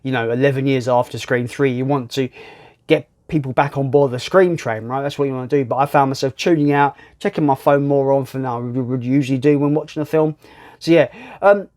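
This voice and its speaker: A man's voice: mid-range voice, fairly even intonation, fairly quick, darkish tone. A man reviewing films casually, blunt and sweary, repeating himself for emphasis. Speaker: film reviewer, video host. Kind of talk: casual film ranking commentary.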